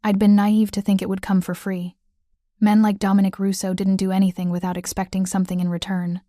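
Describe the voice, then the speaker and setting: A woman reads a first-person romance audiobook in a steady, even storytelling voice. Her tone is mellow and dark, with little rise and fall, and it sits close and dry. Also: mid-range voice, a little fast, dry recording. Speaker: audiobook narrator.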